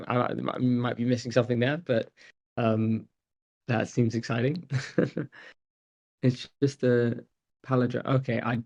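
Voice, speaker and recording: A man's voice: medium pitch, creaky, lo-fi sound. A man hosting a community call, talking casually with frequent laughs and restarts. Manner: relaxed, chuckling, hesitant restarts. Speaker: host. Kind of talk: casual chat. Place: video call.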